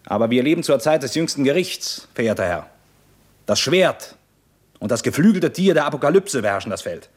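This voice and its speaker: Stern, menacing revolutionary commander. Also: male voice, mid-range voice, big pitch swings. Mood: sardonic, accusing. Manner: sardonic, measured, interrogating. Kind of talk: 1950s German radio play acting.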